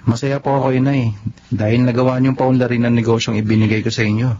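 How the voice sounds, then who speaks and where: A man with a Filipino accent playing a gentle, respectful grown son in a radio drama. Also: mid-range voice, level tone, fast talker, soft background music, lightly acted. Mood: tender. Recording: muffled lo-fi radio, faint noise.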